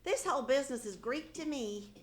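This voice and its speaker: High, animated, skeptical housewife, good-humoured, puzzled questioner, live radio play woman performing. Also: brisk. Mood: puzzled, doubtful. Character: naive, nagging, good-humoured housewife and mother. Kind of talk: 1940s radio comedy acting, live on stage. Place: live theatre stage.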